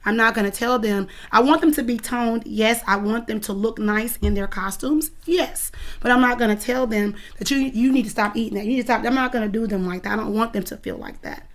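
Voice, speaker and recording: A woman's voice: a little high, a little fast. Woman in her 30s, dance coach, talks earnest and firm about her girls. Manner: earnest, emphatic, protective. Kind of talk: interview answers.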